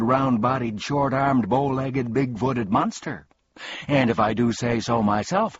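Man putting on a high, boastful little demon. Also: muffled recording, lightly acted. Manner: gleeful, boastful, dramatic. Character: small furry insincere demon posing as boastful frightening monster. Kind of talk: storybook character voice.

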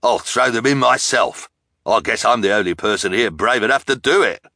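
A man's voice: lowish voice, big pitch swings, unhurried, pushed and bright, no room sound, studio quality, full character voice. A man voicing a boastful, impatient villain's henchman in an audiobook, with a sneering manner.

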